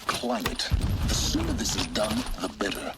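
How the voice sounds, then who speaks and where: Commanding guide with swooping intonation. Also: male voice, mid-range voice, wildly swooping pitch, normal speed, very noisy. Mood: stern, impatient. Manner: curt, clipped orders, grave. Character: commanding, secretive male guide, curt and urgent. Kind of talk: video game acting. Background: loud music.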